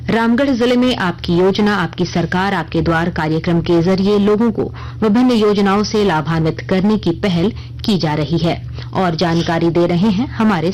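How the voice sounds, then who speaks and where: Female news reader, lower-set voice, formal and measured bulletin delivery. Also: darkish tone, a little hiss or hum, low-quality recording. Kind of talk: scripted radio news bulletin reading.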